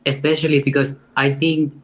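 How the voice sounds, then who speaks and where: A young woman with a Finnish accent and a deep voice, speaking as a guest in an earnest, reflective way. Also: flat delivery, brisk. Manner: earnest and reflective, with light laughs. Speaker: guest artist. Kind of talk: casual interview conversation.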